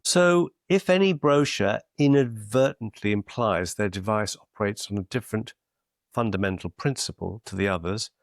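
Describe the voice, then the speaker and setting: A middle-aged man with a low, gravelly voice explains technical material in a measured, deliberate way. He leans hard on the key terms. The sound is dry and close to the mic, with no room around it. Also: no echo. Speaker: clinical educator. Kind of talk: scripted educational explainer.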